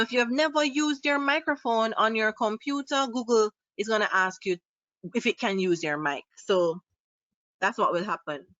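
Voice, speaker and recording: A woman with a Jamaican accent leads a live online tutorial, upbeat and full of questions for her viewers. Her voice is bright and on the high side. It comes through a laptop's built-in mic, close and a little muffled. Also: no room sound. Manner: upbeat, asks the audience questions. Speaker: online workshop presenter. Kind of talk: live screen-share tutorial.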